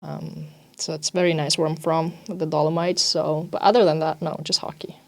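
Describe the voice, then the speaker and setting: A woman's voice: low-pitched, level tone, moderate pace. A young woman from Italy, a native Italian speaker, answering interview questions casually as an athlete, with lots of "you know" and "like". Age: young adult. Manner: informal, peppered with "you know" and "like". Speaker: ice hockey defender.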